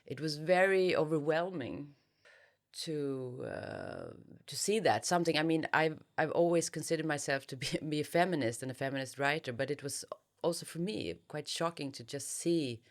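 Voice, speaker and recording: A woman with a Swedish accent giving reflective interview answers, hesitant and often pausing to search for words. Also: deep voice, sing-song. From Sweden. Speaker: interviewed novelist.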